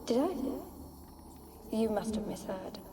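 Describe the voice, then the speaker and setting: A woman's voice: mid-range voice, lively intonation, takes it slowly, background noise. An actress playing the ghost of a sorrowful young noblewoman in a video game, pleading and evasive, with archaic, plaintive phrasing. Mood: wistful, sorrowful. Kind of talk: dramatic video game acting. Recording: echoing, distant ghostly voice over music.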